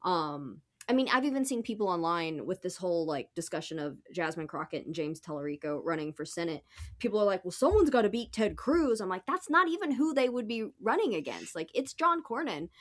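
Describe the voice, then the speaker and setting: A woman's voice: fairly low pitch, expressive, a little fast. A woman with an American accent in casual political podcast chat, sweary and sardonic. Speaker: podcast panelist.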